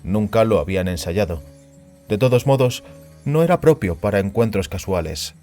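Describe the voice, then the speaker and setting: A man's voice: fairly low pitch, expressive, moderate pace, dark tone, faint music behind. A man reading a science fiction story aloud as a scripted audiobook narration.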